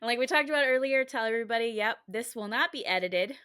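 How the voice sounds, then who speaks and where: A woman's voice: on the high side, animated, fast, sharp tone, smooth, no echo. Casual, chatty woman podcast host, dry sound. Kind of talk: casual podcast introduction and interview chat.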